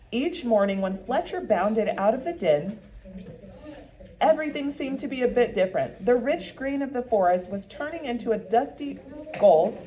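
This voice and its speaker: A woman's voice: fairly high pitch, rough recording. A woman working as a teacher and storyteller, speaking expressively and directly to her listeners as for a children's read-aloud. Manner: animated, addresses listeners directly.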